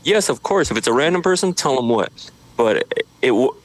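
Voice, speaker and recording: A man from Idaho, a social media creator, talking in a relaxed way with halting restarts from his studio. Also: mid-range voice, dry recording, poor audio. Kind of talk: casual interview chat.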